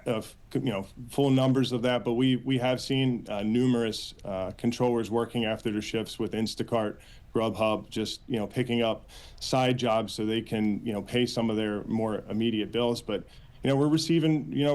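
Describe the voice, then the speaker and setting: A man with an American accent answering as a union spokesman in a news interview, earnest, with halting phrasing and repeated words. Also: medium pitch, darkish tone. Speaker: union official, interview guest.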